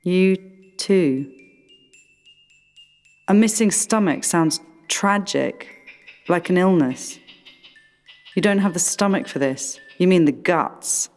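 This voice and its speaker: A woman playing a whimsical, musing character in a radio play, with deliberate, drawn-out phrasing. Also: lowish voice, a little mellow. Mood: questioning. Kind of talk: slow, stylised art radio play delivery. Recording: long reverb, music behind the voice.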